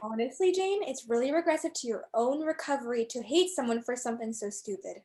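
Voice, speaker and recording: A woman playing a firm, stern doctor who leads group therapy in a staged play reading, questioning in a measured way. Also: on the high side, expressive, dark tone, lightly acted. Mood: stern, reproving.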